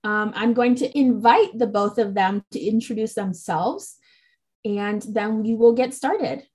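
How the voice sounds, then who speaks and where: A woman's voice: fairly high pitch, a little slow, darkish tone, breathy edge. A woman hosting a public health podcast, speaking in a casual way with a few fillers. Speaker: podcast host.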